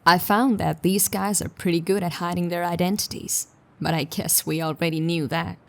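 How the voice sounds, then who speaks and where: A woman's voice: fairly low pitch, level tone, moderate pace, creaky, distant mic. A woman playing a determined, sceptical police detective in a science fiction audio drama, musing and dry in her delivery. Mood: resolute. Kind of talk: audio drama dialogue and investigative monologue.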